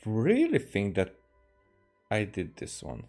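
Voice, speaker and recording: A man streams a game in a low, relaxed voice. He reads on-screen text haltingly and breaks off to comment. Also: faint music behind. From Hungary. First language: Hungarian. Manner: halting, stumbling over lines while reading. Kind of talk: let's play, reading game dialogue aloud with comments.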